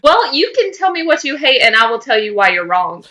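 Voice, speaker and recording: A woman's voice: high-pitched, very animated, fairly quick, pushed and bright. A woman co-hosting a podcast in casual fan chat, speaking in an emphatic, opinionated way. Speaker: podcast co-host.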